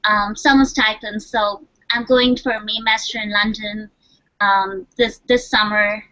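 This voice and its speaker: A woman's voice: on the high side, fairly bright tone, no room sound. A young woman from India, a university student on a panel, talking in an upbeat, chatty way. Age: young adult. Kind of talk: casual panel talk. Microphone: video call. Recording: dry, dull video call audio.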